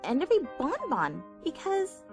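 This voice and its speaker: A very high, animated little filly pony in an audio story. She is unhinged and sweetly obsessive, speaking in halting, insistent phrases, with music underneath. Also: full character voice. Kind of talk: dramatised fan fiction reading.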